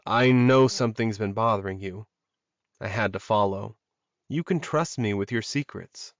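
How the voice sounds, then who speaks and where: Man playing a solemn, measured male werewolf alpha. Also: fairly low pitch, no echo, lo-fi sound, close to an everyday voice. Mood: reassuring. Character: reserved, steady male werewolf alpha, grieving yet supportive.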